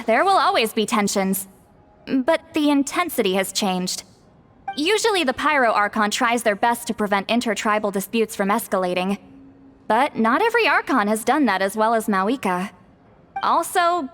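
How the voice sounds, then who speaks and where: An actress playing a composed, scholarly tribeswoman in a video game, speaking in a measured, articulate and thoughtful way. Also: a little high, fairly bright tone. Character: composed, scholarly woman of a tribe, briskly encouraging. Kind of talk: scripted video game dialogue.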